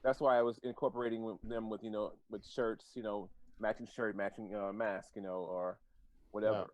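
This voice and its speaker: A man's voice: lowish voice, level tone, brisk, no echo, muffled recording. An American man in his forties chatting casually as an interview guest, often dropping "you know" into his sentences. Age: 40s. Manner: informal, peppered with "you know". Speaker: podcast guest, bartender, designer and teacher.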